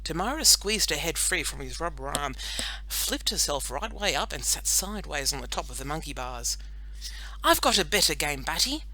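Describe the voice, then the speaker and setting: A woman narrating a children's audiobook in an expressive, scripted storytelling style. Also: low-pitched, normal speed, low-quality recording.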